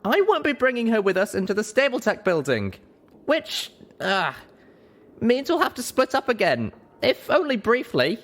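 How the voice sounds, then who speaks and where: Man as young female pony leader, high falsetto-like pitch, firm, assertive, lively intonation, storybook character. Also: normal speed, full character voice. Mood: commanding.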